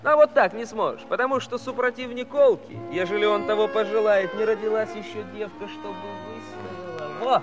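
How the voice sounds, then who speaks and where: Actor as a high-voiced, cocky village flirt. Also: male voice, brisk, lo-fi sound, over music, close to an everyday voice. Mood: cocky teasing. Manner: teasing, bragging, rustic. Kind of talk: theatrical musical comedy acting.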